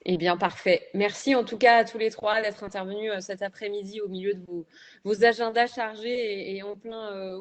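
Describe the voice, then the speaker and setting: Woman moderating a panel. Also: mid-range voice. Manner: questioning, hesitant with fillers.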